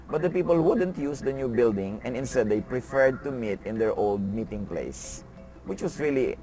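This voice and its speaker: A man's voice: medium pitch, a little mellow, rough recording. A man from the Philippines, speaking earnestly and explaining things in a documentary interview.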